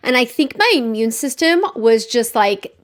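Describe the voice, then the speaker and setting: A woman's voice: fairly high pitch, lively intonation, normal speed. Congested nasal woman vlogger. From Utah, USA. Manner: chatty, friendly, detailed. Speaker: beauty vlogger. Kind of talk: makeup review talk to camera.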